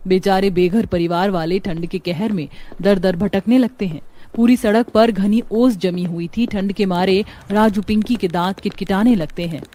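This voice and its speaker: A woman delivers scripted, dramatic story narration. Her voice sits at a middle pitch and carries the tale as a storyteller. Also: steady tone, moderate pace, warm tone.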